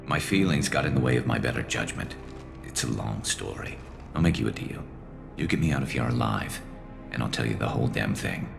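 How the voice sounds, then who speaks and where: A man playing a weary, wry alien vigilante sniper in a video game, speaking in a dry, laconic, gravelly way. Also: fairly quick, full character voice. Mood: calm, tactical. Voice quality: gravelly, low. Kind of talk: video game cutscene acting. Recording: music behind the voice.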